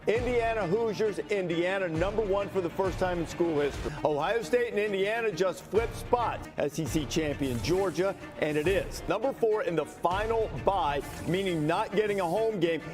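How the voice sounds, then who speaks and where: High-voiced announcer over music. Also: male voice, normal speed, few pauses. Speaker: broadcast announcer. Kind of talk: televised rankings announcement. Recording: broadcast clip over music.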